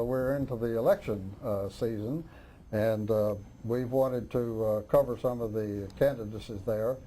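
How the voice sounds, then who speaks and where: A middle-aged man hosting a local candidate forum, talking haltingly with many "uh" fillers. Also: medium pitch, mellow. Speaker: talk show host.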